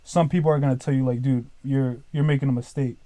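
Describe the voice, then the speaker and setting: Young man of twenty, podcast guest, mellow tone, dry close sound, loose rambling delivery full of "like" and "dude". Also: medium pitch, fairly even intonation, fast, no room sound. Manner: rambling, leans heavily on "like" and "dude". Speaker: podcast guest, business owner. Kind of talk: casual podcast chat.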